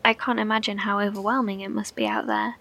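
An actress playing a sincere, gently concerned bunker broadcaster in a horror audio drama, with a husky, creaky voice and hesitant, informal delivery. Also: female voice, medium pitch, very animated, fast.

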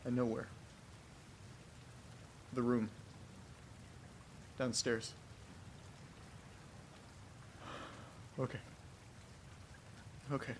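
An actor playing an anxious young man alone in a creepy house, talking haltingly to himself in a horror audio drama. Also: medium pitch, flat delivery, creaky, a little hiss or hum. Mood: uneasy. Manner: halting, muttering to himself, swearing. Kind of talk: horror audio drama, first-person monologue.

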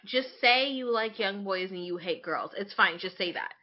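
A woman's voice: medium pitch, moderate pace, lo-fi sound. A young woman co-hosting a podcast, chatting casually in a slangy, sarcastic, exasperated way. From Toronto, Canada. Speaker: podcast co-host. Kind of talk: casual chat.

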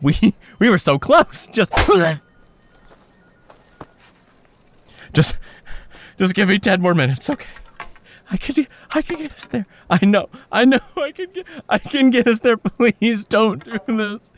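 Man as a panicked telethon host, audio drama, high and swooping, slow nervous pleading. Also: expressive, slight background noise. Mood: desperate. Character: desperate male telethon host, laughing nervously.

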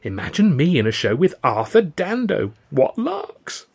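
A man's voice: a little high, wildly swooping pitch, measured pace, gravelly, breathy edge, voice pushed up, full character voice. A man voicing an eager, naive young comic in an audiobook, full of polite, gushing, old-fashioned exclamations. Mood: excited, amazed. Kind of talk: audiobook character voice.

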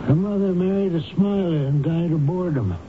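A man's voice: high voice, a little fast, lightly acted. An American actor playing a grouchy, reclusive, suspicious father-in-law in a radio drama, curt, hesitant and grudging. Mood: suspicious, dismissive. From United States. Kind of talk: old-time radio drama acting. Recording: noisy, muffled phone-like old radio recording.

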